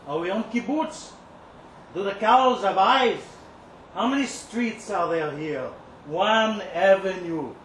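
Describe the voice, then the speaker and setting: High-voiced man, a reader in role, smitten and resentful kitchen worker, rambling streetwise monologue, distant from the mic. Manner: colloquial, streetwise, confiding, rambling. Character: cocky, lovesick, resentful male kibbutz kitchen worker. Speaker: story reader. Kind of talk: dramatic monologue read live. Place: indoor live reading event. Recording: roomy, distant live-event recording, faint noise.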